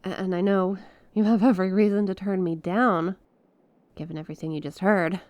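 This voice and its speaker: A woman playing an earnest, awestruck stranded space pilot in an ASMR audio roleplay, speaking expressively and haltingly. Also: mid-range voice, dry recording, natural voice. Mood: solemn, pleading. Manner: expressive, halting, conversational, with small laughs and breathy exclamations. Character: stranded young female star scout pilot, awestruck and earnest.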